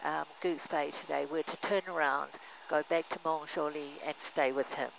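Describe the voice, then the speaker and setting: Older woman co-pilot on headset, matter-of-fact, gravelly texture, muffled intercom with faint engine drone. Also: low voice, steady tone, moderate pace, slight background noise. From New Zealand. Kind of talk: casual cockpit chat. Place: small aircraft cockpit in flight. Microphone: aviation headset boom mic.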